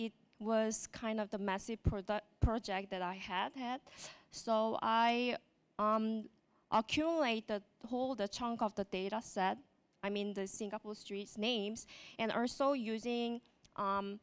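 A woman with a Korean accent gives a conference talk in English, her second language. Her voice is smooth and clear, at a middle pitch. She speaks in short halting phrases with frequent fillers and restarts, into a handheld mic on a large stage. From South Korea.

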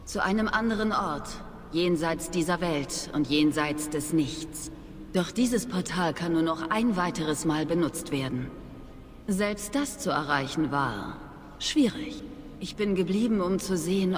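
An actress playing a proud, aloof young witch in a video game, with measured, formal, disdainful speech. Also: on the low side, expressive. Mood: guarded. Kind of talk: dramatic video game dialogue. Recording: long reverb, noisy game ambience.

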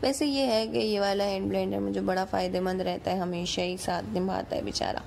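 A woman's voice: medium pitch, fairly even intonation, a little fast, creaky, darkish tone, a little hiss or hum. A woman with a Pakistani accent giving a chatty, informal recipe voice-over as a home cook.

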